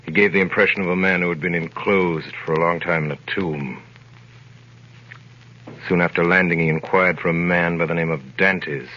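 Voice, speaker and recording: An actor playing a grave, mysterious man near forty in a 1930s radio drama, speaking in measured, formal, probing tones. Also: sits low, steady tone, sharp tone. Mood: grave narration. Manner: measured, solemn, formal questioning. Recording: dull old 1930s radio broadcast recording.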